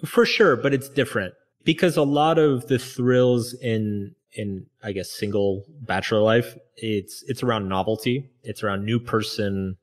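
A man's voice: fairly low pitch, measured pace, hardly any pauses. A man being interviewed as a guest author, talking in a loose, reflective way with many fillers. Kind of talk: candid conversational interview.

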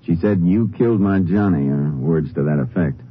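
An actor playing a shrewd, stern Texas Ranger in 1950s radio drama, with a clipped, authoritative manner. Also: male voice, low-pitched, moderate pace, natural voice. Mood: dry, businesslike. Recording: muffled, faintly noisy old radio recording.

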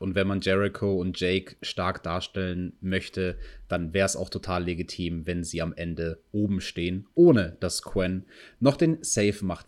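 A man's voice: low voice, a little mellow. Male podcast host recapping wrestling matches, casual conversational delivery. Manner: recaps matches in detail, asks co-host questions. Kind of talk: casual review chat.

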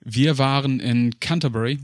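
A man's voice: medium pitch, normal speed, no room sound, studio quality. A young German man co-hosting a podcast, telling rambling personal stories in casual chat, with fillers and frequent laughs. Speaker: podcast co-host.

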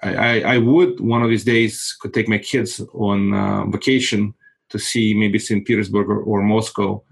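A man in his forties from Moldova, a native Russian speaker, calmly talking about his real estate investing in a casual interview, often repeating words as he searches for them. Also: on the low side, normal speed, gravelly, slightly rough. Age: 40s.

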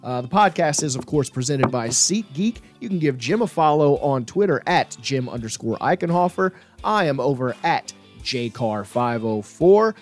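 A man's voice: mid-range voice, expressive, moderate pace, hardly any pauses, soft background music. A man hosting a sports podcast in a casual, jokey style, playful and given to hyperbole.

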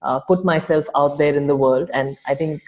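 A woman's voice: sits low, steady tone, moderate pace, dull audio. A woman from Chennai, a Tamil speaker, answering podcast interview questions thoughtfully as an entrepreneur guest. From Chennai, India.

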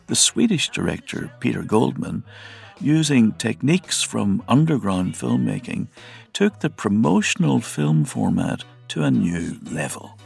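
Gravelly male narrator, very creaky. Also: medium pitch, sing-song, measured pace, darkish tone, faint music behind. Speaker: documentary narrator. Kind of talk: scripted narration.

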